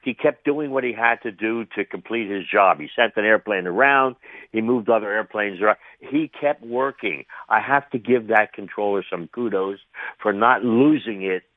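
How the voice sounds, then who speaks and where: A man's voice: lowish voice, moderate pace, no echo. Older man on a tinny phone line. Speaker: retired air traffic controller, interview guest. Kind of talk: spontaneous radio interview commentary. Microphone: phone call to a radio show.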